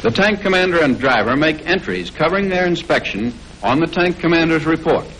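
An American man reading scripted 1940s instructional film narration in a formal, measured way, on an old film soundtrack. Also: a little high, animated, a bit bright, slight background noise, poor audio.

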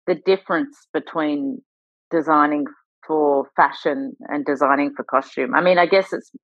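A woman's voice: low-pitched, no room sound, dull audio. A woman podcast host reading a guest introduction and asking casual questions with fillers. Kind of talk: interview host.

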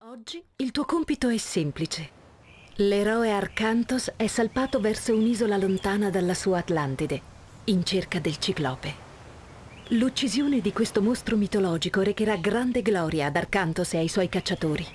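A woman's voice: mid-range voice, animated, moderate pace, a little mellow, a little hiss or hum, close to an everyday voice. A woman voicing an instructive storyteller in scripted video game tutorial narration. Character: instructive storyteller guiding the player.

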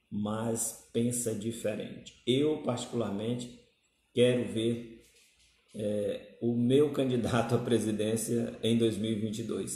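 Earnest Brazilian man, very dark voice. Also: mid-range voice, reverberant. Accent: Brazilian Portuguese. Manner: earnest, repetitive, gestures while talking. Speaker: political commentator. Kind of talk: direct-to-camera opinion talk. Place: small indoor room with plain wall. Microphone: phone camera at arm's length.

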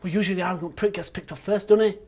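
A jumpy, sarcastic young graduate, acted by a man, talking quickly in a slightly high voice. He frets and fires off dry jabs at his friend. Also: steady tone, a little mellow, slightly rough. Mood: sarcastic worried. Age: young adult. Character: nervous, sarcastic young male literature graduate. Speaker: actor in amateur short film.

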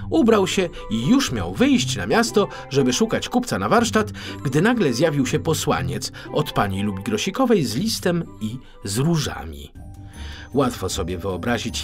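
Animated male storyteller with a lively range. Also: medium pitch, normal speed, music in the background. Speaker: storyteller, audiobook narrator. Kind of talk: expressive scripted children's story narration.

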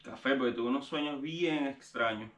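A man's voice: mid-range voice, moderate pace, a bit bright, natural voice. A man playing a puzzled young guy, roomy. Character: confused young man waking from odd dreams. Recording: roomy, distant audio from a played clip.

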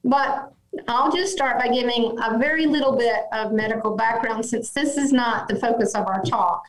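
A woman in her fifties, a physician speaking as a podcast guest over a video call, measured and gentle in her explanations. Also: fairly high pitch. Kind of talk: remote podcast interview. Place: office at home, indoors. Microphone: video call webcam. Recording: echoey room on video call.

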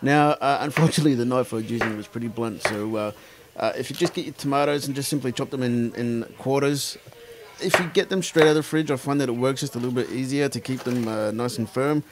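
A man's voice: medium pitch, quick pace, background noise. An Australian man in his thirties chatting casually to camera about cooking, rambling and laughing as he goes. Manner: laid-back, rambling, laughs often. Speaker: cooking vlogger. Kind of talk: casual chat. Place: indoor room with green screen.

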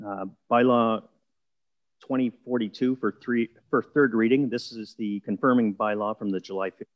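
A man speaking on a video call at a council meeting, explaining a bylaw in a measured, procedural way with frequent fillers. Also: mid-range voice, fairly even intonation, no echo, highs cut off. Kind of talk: formal council meeting presentation.

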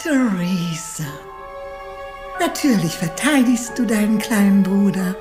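A woman playing an imperious dead aunt speaking at a séance in a German crime radio drama, with slow, theatrical, accusing delivery. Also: mid-range voice, lively intonation, close to an everyday voice. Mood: scornful. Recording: echoing, with music underneath.

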